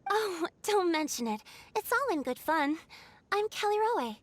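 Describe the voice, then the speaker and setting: Very high, friendly young traveling woman. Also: very animated, a little slow, no echo, heavily acted. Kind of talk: video game voice acting.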